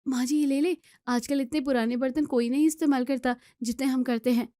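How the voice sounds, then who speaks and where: A woman voicing a polite, eager young daughter-in-law in an Indian family story, respectful and gently persuasive. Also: high voice, fairly even intonation, fairly quick, no room sound, clean studio sound, close to an everyday voice.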